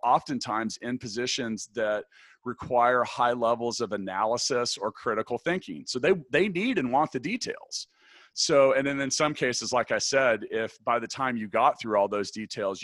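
A man with a big, deep voice answering interview questions thoughtfully, restarting his sentences often. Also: a bit bright, dry recording. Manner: thoughtful, many restarts and fillers. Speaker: podcast guest, business founder.

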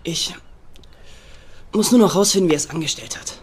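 An actor playing a sullen, defensive young runaway gambler in a dubbed German series. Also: male voice, a little high, a little fast, slight background noise, lightly acted. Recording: music behind the voice.

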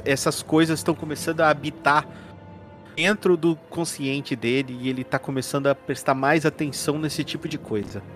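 Brazilian man roleplaying over background music. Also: on the high side. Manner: joking, halting. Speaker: player in a tabletop horror RPG. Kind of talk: improvised tabletop roleplay, narrating his character's actions. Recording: music playing behind the voice.

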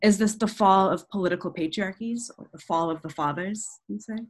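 A young woman interviewing a scholar, reading a passage aloud and asking reflective questions in a measured way. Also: mid-range voice. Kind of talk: academic interview, reading a quotation.

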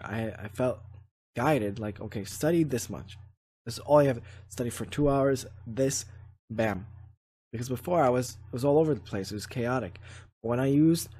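A man's voice: medium pitch, a little mellow, slightly rough. A man hosting his own channel, talking through self-help ideas in a casual, earnest way with many restarts. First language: Polish is not his first language. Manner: earnest, reflective, many restarts and "you know". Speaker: channel host. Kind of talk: casual spiritual vlog talk.

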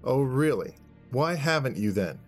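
Man voicing a cocky, high-pitched American youth. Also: very animated, unhurried, soft background music. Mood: mocking. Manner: sarcastic, taunting. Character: cocky, sarcastic American youth taunting his opponent.

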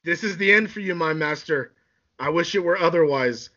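A furious young warrior turned villain, acted out by a man, pitched high and pushed forward with a bright, forceful edge. He declaims every accusation melodramatically, as in a homemade radio play, on a slightly dull recording. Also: moderate pace, soft background music. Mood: defiant. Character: furious, betrayed young male warrior turning to evil.

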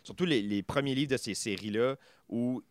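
A man's voice: mid-range voice, moderate pace, no echo. A man in his thirties with a Franco-Ontarian French accent, a podcast guest chatting casually, loose and hesitant with many fillers and restarts. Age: 30s. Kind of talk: casual conversation.

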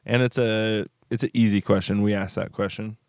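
Deep-voiced man, wry podcast chat. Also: normal speed, vocal fry, clear, resonant tone, no room sound, studio quality. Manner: relaxed, wry, thinks aloud with fillers. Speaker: podcast co-host. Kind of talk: casual chat.

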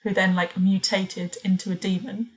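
A woman hosting a podcast, telling rambling stories in a relaxed way with dry teasing humour. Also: medium pitch, normal speed, a little hiss or hum, rough recording. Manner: storytelling, dry teasing, laughs mid-sentence. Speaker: podcast host. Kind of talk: casual chat.

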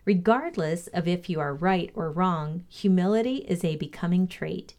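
A woman reading a motivational lesson aloud as a co-host, in a measured, instructive way. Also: lowish voice, a little mellow.

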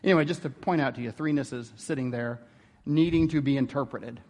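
A man's voice: mid-range voice, fairly quick, a little mellow, big-room sound. A man giving an informal theology lecture in a conversational way, often checking in with rhetorical questions.

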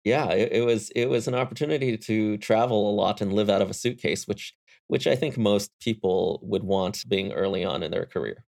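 A young man speaks as an interview guest, his voice pitched low with a gravelly fry. He tells stories in a relaxed, easygoing way, often restarting sentences and chuckling as he goes. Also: moderate pace. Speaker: guest, engineer and startup founder. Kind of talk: casual interview conversation.

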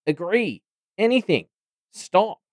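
A man's voice: sits high, very animated, slow pace, a little mellow, no room sound, studio quality, voice pushed up, full character voice. A man voicing a big, brutish goblin in an audiobook, blunt, desperate and yelping. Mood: panicked, desperate. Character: big brutish male goblin, frantic and foul-mouthed. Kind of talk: audiobook character acting.

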